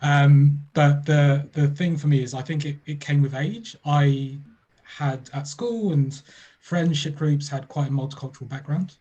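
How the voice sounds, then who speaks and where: A man talks over a video call in a measured, reflective way, often pausing on fillers. His voice is mellow and dark, with a slight huskiness. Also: a little high, fairly even intonation, muffled recording. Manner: thoughtful, measured, with many fillers. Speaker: panelist. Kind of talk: webinar panel discussion.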